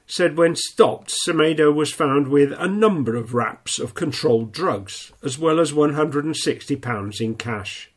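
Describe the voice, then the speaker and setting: A man reading local news in a plain, steady way, a little snuffly with a stuffy nose. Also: on the high side, husky. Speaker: newsreader.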